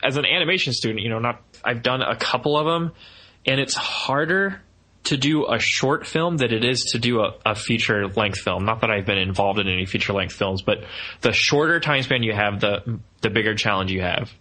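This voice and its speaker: Young male podcast co-host, bright forward tone, mid pitch, casual, thinks aloud mid-sentence. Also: moderate pace, poor audio. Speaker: podcast co-host, animation student. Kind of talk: casual film review chat.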